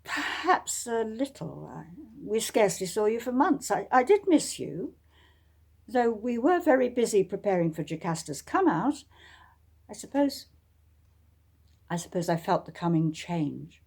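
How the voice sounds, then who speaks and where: A woman's voice: mid-range voice, sing-song, a little slow, vocal fry, darkish tone, breathy edge, voice pushed up, heavily acted. A woman voicing a wistful, hesitant young woman who hides heartache behind politeness, in a romance audiobook. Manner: hesitant, subdued, faltering. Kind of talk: dramatic romance audiobook reading.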